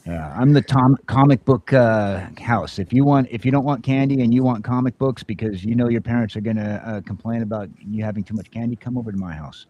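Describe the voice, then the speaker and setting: A man's voice: medium pitch, fairly quick, hardly any pauses, highs cut off. A man hosting a casual podcast who talks in an eager, chatty way and reads out viewer comments.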